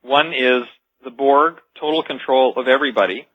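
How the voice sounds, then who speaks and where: A man's voice: mid-range voice, normal speed. A man speaking as a guest expert in a radio interview, explaining in an emphatic storytelling way with rhetorical build-ups, over dull lo-fi sound.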